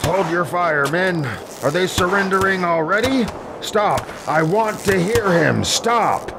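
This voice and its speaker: A man's voice: high voice, lively intonation, takes it slowly, vocal fry, very noisy, heavily acted. A man playing a commanding army leader of ancient Greek epic in an audio drama, terse and ordering his soldiers.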